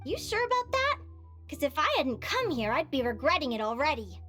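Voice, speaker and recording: Very high, earnest fairy girl. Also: brisk. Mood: earnest, questioning. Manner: expressive, earnest, eager. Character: determined, earnest young fairy girl, Linnea. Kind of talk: anime-style video game voice acting. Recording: game music behind the voice.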